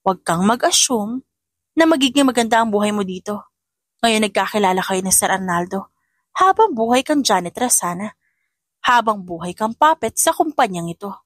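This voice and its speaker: A woman's voice: medium pitch, animated, moderate pace, no room sound, clean studio sound, close to an everyday voice. A woman voicing a spiteful young female office bully in an audio drama, taunting and haughty.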